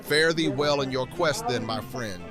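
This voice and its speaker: A courtly, kingly character acted by a man speaking in grand, old-fashioned phrases. He is friendly and theatrical, in an audio drama with clear music behind. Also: medium pitch, unhurried, close to an everyday voice. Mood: grand, cordial. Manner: pompous old-fashioned diction. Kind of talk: fan audio drama acting.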